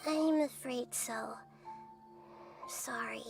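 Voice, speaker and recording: A gentle child goddess of nature, high and softly girlish. She speaks with measured, earnest wisdom in an anime game, music playing clearly behind. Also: exaggerated voice. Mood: regretful. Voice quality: soft, girlish. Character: childlike female nature god, gentle, thoughtful and wise.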